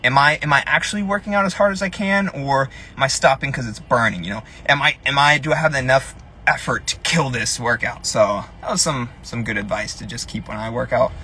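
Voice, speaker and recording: A man's voice: medium pitch, fairly quick, pushed and bright, a little hiss or hum. A young man from Tennessee with no accent left, a personal trainer chatting casually on a live stream from his parked car, rambling with lots of ums and restarts. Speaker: fitness influencer, personal trainer.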